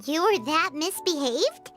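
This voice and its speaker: A woman voicing a small, excitable, childlike fairy companion in a video game, chirpy and exclamatory. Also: extremely high pitch, unhurried, full character voice. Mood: curious. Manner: exclamatory, refers to self in third person. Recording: loud music under the voice.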